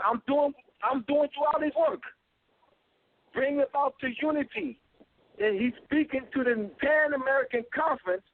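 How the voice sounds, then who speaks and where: A man giving an insistent talk, stopping often to fill pauses with ums and uhs, heard over a phone line. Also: very high-pitched. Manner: insistent, repetitive, many filler ums and uhs. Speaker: guest speaker. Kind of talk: call-in lecture, talking to a host. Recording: tinny phone line, dry.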